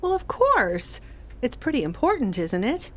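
High-pitched young expectant wife played by an actress. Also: female voice, big pitch swings, measured pace, a bit bright, exaggerated voice. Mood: matter-of-fact. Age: young adult. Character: young expectant wife, earnest, fretful and whimsical. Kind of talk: radio play acting. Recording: faint background noise.

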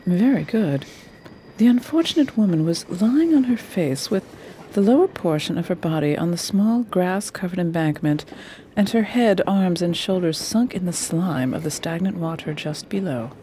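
An actress plays a commanding, eager lady detective for radio drama. She sounds refined and purposeful in a lowish, dark-toned voice, with faint noise behind. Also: animated, normal speed, natural voice. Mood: firm, businesslike.

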